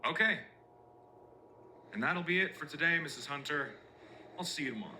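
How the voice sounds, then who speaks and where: An actor playing a self-assured, persuasive male official in a horror drama, speaking in a deliberate, theatrical and emphatic way. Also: fairly high pitch, expressive, background noise, lightly acted. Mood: confident, dismissive. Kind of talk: scripted analog horror drama acting.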